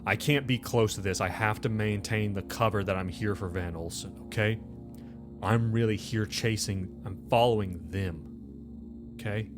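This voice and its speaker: Man voicing a tense, low-voiced, threatening woman agent. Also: normal speed, over music, natural voice. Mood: guarded, insistent. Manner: clipped, insistent, mumbling around a cigarette. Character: tense, threatening, foul-mouthed woman intelligence agent smoking.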